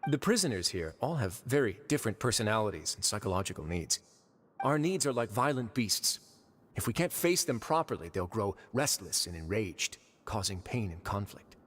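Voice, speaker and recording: An actor playing a composed, authoritative duke of a prison fortress in a video game, speaking in a measured, formal way. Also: male voice, fairly low pitch, big pitch swings, breathy edge. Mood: measured, reflective. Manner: measured, formal, dryly understated. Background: faint music.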